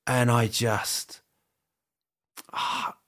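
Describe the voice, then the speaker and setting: A man's voice: mid-range voice, flat delivery, fairly bright tone. Self-mocking English comedian in his fifties. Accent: English with a bit of New Zealand. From England. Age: 50s. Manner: rambling, halting restarts, jokey asides with put-on voices. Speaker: comedian, interview guest. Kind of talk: candid, self-mocking interview chat.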